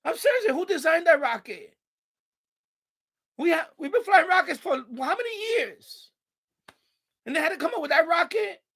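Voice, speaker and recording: A male live-stream host with a noticeably high voice that often breaks into creak. He talks informally and without much filter, rambling as he answers his chat. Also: sing-song, moderate pace, long pauses, a bit bright. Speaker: livestream host. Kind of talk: casual live-stream chat, reading and answering viewer comments.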